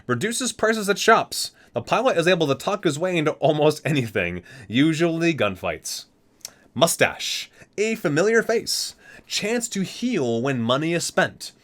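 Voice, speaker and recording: A man's voice: fairly high pitch, very animated, unhurried, a bit bright. A man doing an ASMR reading, reciting expressively and dropping in casual, murmured offhand remarks. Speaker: video creator reading aloud from a game guide book.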